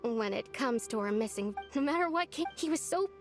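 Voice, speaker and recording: A woman voicing a gentle, wistful young village woman in a video game, reflective in manner. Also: a little high, expressive, brisk. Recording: faint music behind the voice.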